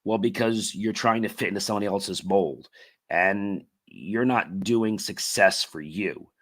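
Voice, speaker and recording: Podcast host, man, middle-aged, deep voice, raspy and a bit worn, earnest motivational talk. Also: measured pace. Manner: earnest, thankful, repeats key words. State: voice worn from long talking.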